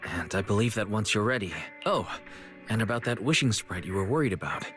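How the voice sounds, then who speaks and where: A man's voice: lowish voice, expressive, a little fast, a bit bright, soft background music. A man playing a kind, tactful, dependable young store manager in a video game, speaking politely. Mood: reassuring. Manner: polite, considerate.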